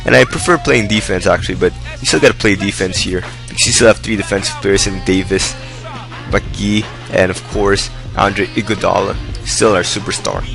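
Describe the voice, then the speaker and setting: A young man with a hoarse voice giving rambling, upbeat commentary over his own gameplay. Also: sits low, moderate pace, music in the background. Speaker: gaming video host. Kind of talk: casual game commentary. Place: indoors, hot room.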